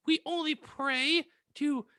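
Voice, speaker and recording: A woman voicing a brash, defiant, foul-mouthed teenage goth with melodramatic, drawn-out exclamations. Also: high voice, fairly even intonation, sharp tone, no echo, clean studio sound, exaggerated voice.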